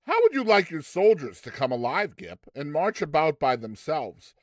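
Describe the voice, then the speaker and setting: A man voicing a protective gentleman father in a storybook, speaking firmly and emphatically. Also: on the high side, very animated, moderate pace, dry recording, studio quality. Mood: careful, curious.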